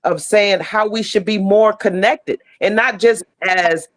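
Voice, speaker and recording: A woman's voice: mid-range voice, a little slow. A middle-aged American woman speaking as an interview guest on a video call, heartfelt and emphatic, often repeating phrases. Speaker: podcast guest, stage play cast member. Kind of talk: casual, heartfelt conversation.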